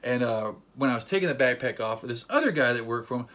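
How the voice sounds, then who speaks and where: A young man chats to the camera in a casual vlog, playful and quick to joke. His voice sits at an ordinary middle pitch, and he slips into little acted quotes as he retells the story. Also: animated, fairly bright tone. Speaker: vlogger.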